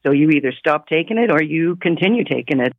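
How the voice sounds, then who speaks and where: A woman's voice: low voice, lively intonation, quick pace, no echo. Aggrieved woman caller on the phone. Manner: earnest, aggrieved. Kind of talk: phone-in complaint. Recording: phone line.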